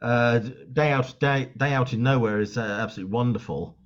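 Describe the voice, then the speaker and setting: A male interviewer and channel host asking questions eagerly, with frequent quick agreements. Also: mid-range voice, highs cut off. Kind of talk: casual interview.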